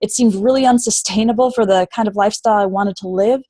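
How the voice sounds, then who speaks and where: A woman guest, an online English teacher, answering interview questions in a clear, fluent storytelling way. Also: mid-range voice, fast talker, warm tone, dry recording, clean studio sound.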